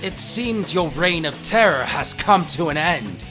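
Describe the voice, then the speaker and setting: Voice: medium pitch, lively intonation, a little slow, pushed and bright, soft background music. An actor voicing a stern, serious male antihero with a terse, brooding manner in a fan audio drama. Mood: grave. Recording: roomy, echoing voice track.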